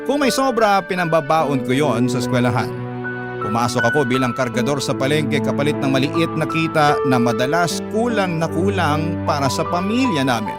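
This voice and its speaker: Casting: male actor as earnest thirties Filipino letter-writer, first-person drama narration, higher-than-average pitch, prominent music bed. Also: expressive, normal speed, close to an everyday voice. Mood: sombre, reflective. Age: 30s. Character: earnest thirty-year-old call-centre agent recounting painful childhood. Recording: loud guitar music bed underneath.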